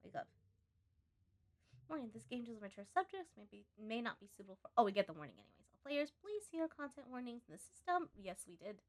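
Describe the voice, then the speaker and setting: A woman's voice: fairly high pitch, big pitch swings, quick pace. A woman game streamer chatting casually and reactively, reading on-screen text aloud and muttering asides to herself.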